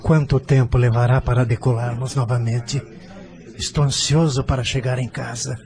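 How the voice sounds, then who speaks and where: A man's voice: mid-range voice, flat delivery, moderate pace, a crowd in the background, lightly acted. An actor playing an earnest, idealistic middle-aged businessman in an audio drama, speaking in a sincere, reflective, polite way. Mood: eager.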